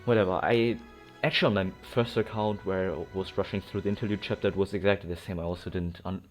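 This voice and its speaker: A man's voice: sits low, fairly even intonation, quick pace, soft background music. A man rambling casually over his gameplay, sighing, forgetful and full of fillers. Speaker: gameplay streamer.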